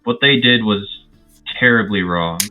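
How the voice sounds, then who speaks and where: A man's voice: fairly low pitch, unhurried. A man playing a tabletop game, tentatively narrating his character in casual talk. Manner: hesitant, tentative. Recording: phone-like thin audio, faint music. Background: faint music.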